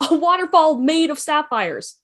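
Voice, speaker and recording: A woman's voice: high-pitched, big pitch swings, moderate pace, a bit bright. A woman narrating the stage directions of a script in a fan table read.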